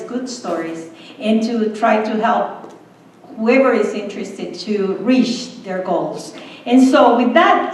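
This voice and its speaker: A woman, speaking slowly as president of a school board meeting. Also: on the high side, darkish tone, a little hiss or hum. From El Salvador. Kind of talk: public meeting remarks. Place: meeting room. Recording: echoey room, distant mic.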